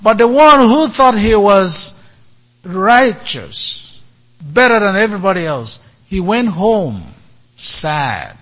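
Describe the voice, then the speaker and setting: A man giving a sermon as a priest, speaking in short, deliberate phrases with many pauses. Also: high-pitched, big pitch swings, fairly bright tone.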